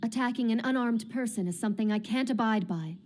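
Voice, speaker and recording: A woman playing a dutiful young female knight in an English anime dub, speaking formally and solemnly with measured words. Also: on the high side, natural voice. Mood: resolute. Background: loud music.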